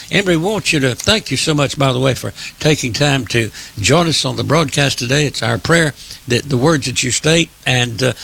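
A man hosting a radio interview as a pastor, speaking in a formal, deliberate, preacherly way. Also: medium pitch, vocal fry, fairly bright tone, slightly rough.

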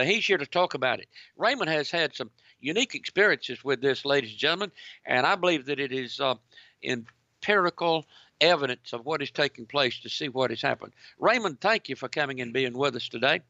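Creaky, bright-voiced talk radio host. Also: male voice, medium pitch, dry recording, highs cut off. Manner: preacherly, addresses listeners as ladies and gentlemen. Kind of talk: talk radio commentary and interview.

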